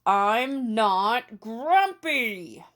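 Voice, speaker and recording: A grumpy male storybook ape acted out by a woman reading aloud. He is stubborn and indignant, protesting emphatically in a raised, high pitch. His words come out at a deliberate pace. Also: lively intonation, fairly bright tone, heavily acted.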